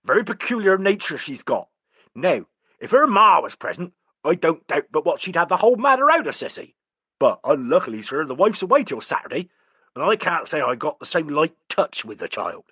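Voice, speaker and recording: A man puts on a coarse working-class gardener with rough dialect speech. He sounds gloomy and blunt, pitched a little higher than the reader. The delivery is loud and crisp. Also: big pitch swings, brisk, no echo, exaggerated voice. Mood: gruff.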